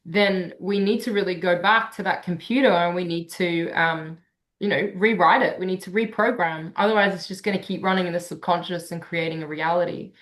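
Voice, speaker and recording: Low-voiced woman sound healer talking. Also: fairly quick. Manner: relaxed, many fillers, earnest explaining. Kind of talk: casual online talk.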